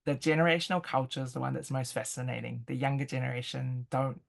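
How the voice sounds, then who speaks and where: A woman with a New Zealand accent talks in a conversational interview. She is reflective and picks through her thoughts in halting phrases, explaining as she goes. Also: extremely low pitch, fairly even intonation, vocal fry. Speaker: interview guest, intercultural expert.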